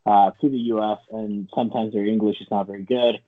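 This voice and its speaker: Phone-like, lowish-voiced male interviewer. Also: steady tone, brisk. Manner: meandering, loosely phrased questions. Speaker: interviewer, host. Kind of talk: casual interview. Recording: thin, phone-like narrow-band sound.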